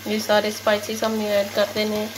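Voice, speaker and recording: Flat-voiced woman narrating a recipe over sizzling. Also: medium pitch, a little fast, background noise. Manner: steady, instructive, repeats "ye dekhiye". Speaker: cooking channel host. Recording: loud kitchen sizzle behind.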